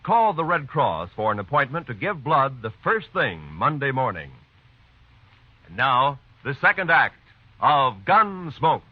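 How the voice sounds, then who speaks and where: A man's voice: medium pitch, big pitch swings, a little slow, slight background noise. A man with an American accent announcing in a formal 1950s radio style. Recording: dull vintage radio recording.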